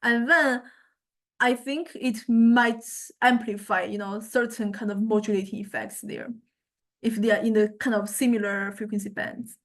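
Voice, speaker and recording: A woman lecturer giving an informal software demonstration, hesitantly thinking aloud as she goes. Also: a little high, steady tone, normal speed. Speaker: music technology lecturer.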